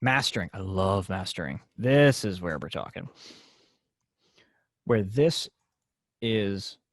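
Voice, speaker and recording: A man with a gravelly edge to his voice walks a class through software over a video call. His talk is casual and full of fillers. The sound is dry and close. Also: sits low, lively intonation, slow pace, no room sound. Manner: casual, filler-heavy explaining. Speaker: class instructor.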